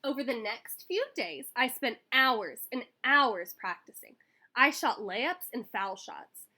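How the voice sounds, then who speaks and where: A woman reading a children's picture book aloud in an expressive, animated way that acts out the story. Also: fairly high pitch, takes it slowly. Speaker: school staff member reading aloud.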